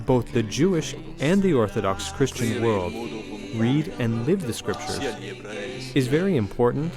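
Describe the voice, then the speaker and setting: A man delivers a scripted voice-over translation at a middle pitch. His intonation moves around a lot, and music plays clearly behind him. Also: big pitch swings, measured pace. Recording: music bed under the voice.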